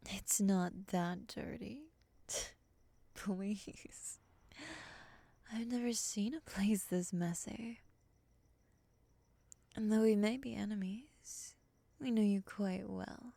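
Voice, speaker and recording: A woman playing a cool, skeptical moth monster woman, breathy and half-whispered, sardonic and condescending, in an ASMR roleplay. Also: medium pitch, fairly even intonation, drawn-out words, long pauses, mellow, no echo, full character voice. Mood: sardonic, disdainful.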